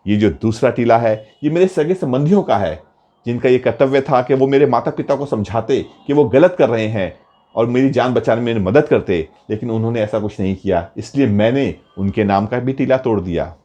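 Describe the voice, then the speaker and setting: A man voicing a devout, calm young boy in a story, speaking respectfully and solemnly. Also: medium pitch, moderate pace, lo-fi sound, close to an everyday voice. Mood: solemn, reproachful.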